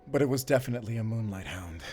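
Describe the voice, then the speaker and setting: A man's voice: medium pitch, vocal fry, a little mellow, slight background noise. A man playing a wounded, stoic werewolf hunter who speaks in terse, strained phrases in a cinematic audio drama. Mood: wary, puzzled. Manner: terse, halting, strained delivery. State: hurt, weakened. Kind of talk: cinematic audio roleplay acting.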